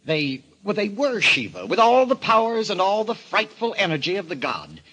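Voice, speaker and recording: An actor playing an arrogant, obsessed explorer in an old-time radio mystery, speaking in a grandiose, theatrical way. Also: male voice, high-pitched, wildly swooping pitch, moderate pace, bright, forceful tone, a little hiss or hum, full character voice, rough recording. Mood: brooding, fervent. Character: obsessed, arrogant male explorer enthralled by a cult of destruction.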